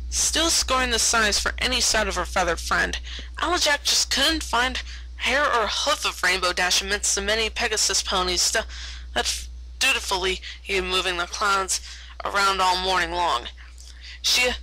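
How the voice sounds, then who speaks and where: A woman's voice: medium pitch, sharp tone, poor audio. A woman reading fan fiction aloud as a storyteller, often stumbling over words and repeating them. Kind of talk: read-aloud story narration.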